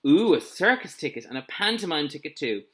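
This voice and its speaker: A man voicing an excited, affectionate young girl in a children's storybook, playful and emphatic. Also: fairly high pitch, brisk.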